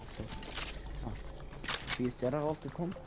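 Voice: medium pitch, a little fast, slight background noise. A teammate chatting casually during an online game, hesitant and full of fillers, often trailing off mid-sentence. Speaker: gaming teammate. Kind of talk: casual gaming chat.

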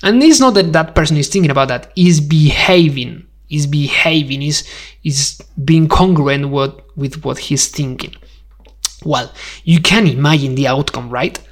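A man's voice: a little high, unhurried. A man from Spain with a Spanish accent, hosting a podcast as a conversational, educational monologue, speaking emphatically and putting rhetorical questions straight to the listener. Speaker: podcast host.

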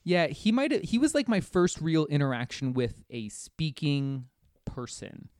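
A man's voice: a little high, a little slow, darkish tone, dry recording, studio quality. A man in casual podcast conversation, recounting things in detail as he talks about a video game. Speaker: podcast guest or host discussing a video game. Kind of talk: casual chat.

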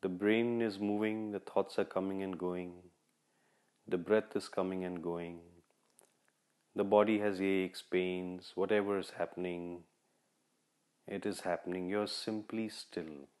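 A man leading a guided meditation in short, repeated instructional phrases, measured and with long pauses. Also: deep voice, steady tone, darkish tone. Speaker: meditation guide, podcast host.

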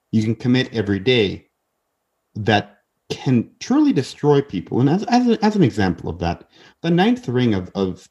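A man's voice: fairly low pitch, a little mellow, breathy edge. A man in his twenties, a podcast guest talking earnestly in casual conversation, often restarting and repeating words. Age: 20s.

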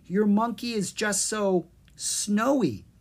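A woman voicing an eager, excitable young boy adventurer in a children's storybook, with breathless enthusiasm. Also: medium pitch, takes it slowly, voice pushed up.

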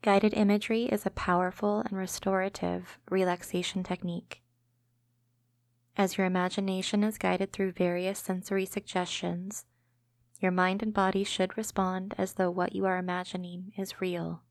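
Calm woman guiding meditation, evenly pitched. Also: no room sound, studio quality. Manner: gentle, calming, measured delivery. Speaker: host and narrator of a guided meditation podcast. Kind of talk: scripted guided imagery meditation narration.

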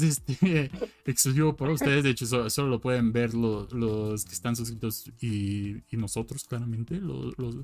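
Mexican man hosting, hesitant fillers. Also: medium pitch. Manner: hesitant, filler-heavy announcing. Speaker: podcast co-host. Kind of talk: casual chat.

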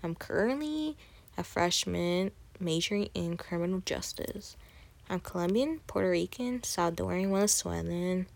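A woman's voice: lowish voice, expressive, normal speed, vocal fry, darkish tone. A woman, hosting her own podcast in a casual, chatty monologue full of fillers and restarts. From Texas, USA. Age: 20s. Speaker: podcast host.

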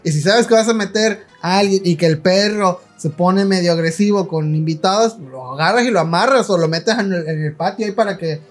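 A man hosting a podcast in casual chat, with a Mexican Spanish accent, slangy and quick to laugh as he reads comments. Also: sits high, faint music behind. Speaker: podcast host.

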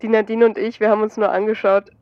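A woman voicing a tired ex-husband with an Austrian accent, talking quietly and drowsily. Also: mid-range voice, flat delivery, fast talker, no echo, highs cut off, natural voice. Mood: warm. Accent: Austrian German. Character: tired, sleepy ex-husband, softening.

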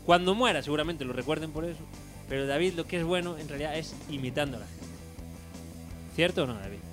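Laughing radio host, slightly high. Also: male voice, animated, fast talker, over music. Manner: laughing, teasing, leads the segment. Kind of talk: casual chat.

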